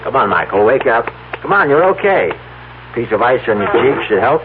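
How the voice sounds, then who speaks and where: An actor playing a desperate, pleading farmer in an old radio drama, speaking urgently and haltingly and repeating his words. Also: mid-range voice, sing-song, over loud music, natural voice. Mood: relieved, coaxing. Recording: tinny, noisy old radio broadcast recording.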